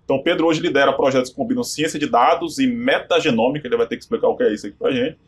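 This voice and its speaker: Husky-voiced man hosting a podcast. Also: medium pitch, very fast. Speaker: podcast host. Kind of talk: introducing a guest in casual podcast talk.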